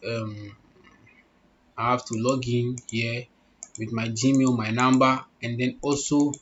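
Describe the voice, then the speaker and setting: A young man talks through an online job application at his computer. He repeats himself, asking "okay?" and "do you understand?" along the way. His voice sits mid-range and sounds a little muffled, with faint keyboard clicks behind him. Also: a little hiss or hum. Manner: repetitive, instructive, checks in with the listener. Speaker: online tutorial host. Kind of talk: screen-recorded walkthrough. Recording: muffled low-fidelity audio.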